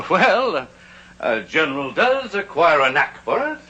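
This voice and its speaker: A pompous American general from an old television western. The voice is fairly high, piercingly bright and very animated. He is blustery and self-satisfied behind false modesty. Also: a little slow, husky, lo-fi sound, heavily acted. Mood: curious, pleased. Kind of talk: vintage television western acting.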